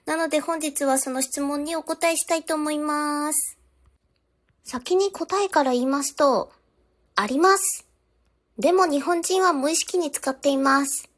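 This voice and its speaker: High-pitched woman teaching a language lesson. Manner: instructional, polite, addressing viewers directly. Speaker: Japanese teacher. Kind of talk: scripted language lesson to camera.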